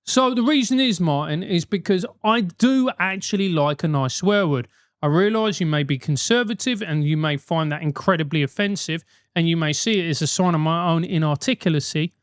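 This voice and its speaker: A man's voice: fairly high pitch, normal speed, smooth, no echo, studio quality. A man with a South London accent, a host giving advice in a casual, emphatic rant, swearing freely.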